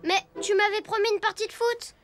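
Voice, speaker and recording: A woman voicing a cheeky, confident young animal boy in a French cartoon, slangy, expressive and boyish. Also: very high-pitched, a little fast, over music. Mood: puzzled.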